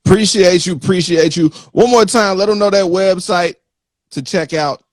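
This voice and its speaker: Slightly high-voiced man, close dry sound. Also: moderate pace, no room sound. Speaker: interview host. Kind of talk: casual interview chat.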